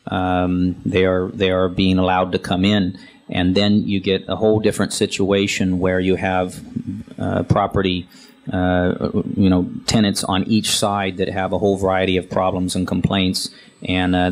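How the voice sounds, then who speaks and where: A man speaking off the cuff in a panel discussion, halting with many pauses. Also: low-pitched, completely flat delivery. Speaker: panel speaker on a radio talk show. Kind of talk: unscripted discussion.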